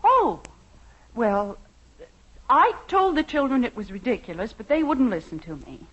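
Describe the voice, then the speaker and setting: An American actress playing a middle-aged, flustered and placating housewife in a 1950s radio sitcom. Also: female voice, a little high, big pitch swings, unhurried, fairly bright tone, slightly rough, full character voice. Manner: fluttery, placating, sidestepping. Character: flustered, evasive, sweet housewife and mother. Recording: muffled old radio recording.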